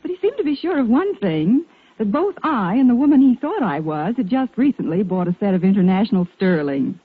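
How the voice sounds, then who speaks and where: An eager, satisfied customer in a 1940s radio commercial. Her voice is husky and animated in pitch. The recording is tinny and thin. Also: a little fast. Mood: pleased, eager. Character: enthusiastic, satisfied woman shopper in a radio commercial. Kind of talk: 1940s radio advertising dialogue.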